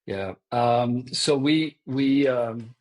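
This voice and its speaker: A man from Sweden with a Swedish accent and Swedish as his first language, telling his story in a halting, thoughtful way as a podcast guest. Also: mid-range voice, a little mellow. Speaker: guest, event company CEO. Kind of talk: podcast interview.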